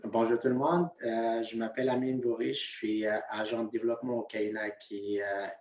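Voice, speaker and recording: A man's voice: fairly low pitch, steady tone, fairly quick, darkish tone. A man from Algeria, a community development officer, introducing himself hesitantly on a panel over a video call. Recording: roomy, distant, dull video call audio.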